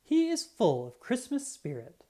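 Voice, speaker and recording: A woman reading a children's storybook aloud in an expressive, unhurried way. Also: on the high side. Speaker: children's book reader.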